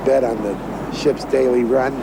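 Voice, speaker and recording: An actor playing a chatty, polite male cruise passenger in a television drama, ingratiating and a little hurried. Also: medium pitch, fairly even intonation, gravelly, close to an everyday voice. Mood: eager, coaxing. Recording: noisy outdoor boat-deck film sound.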